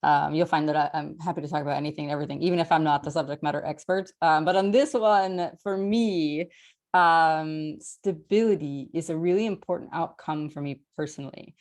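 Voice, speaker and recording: A woman's voice: low voice, fairly quick, a little mellow. A woman answering a question on an online panel in an eager, fluent, reflective way.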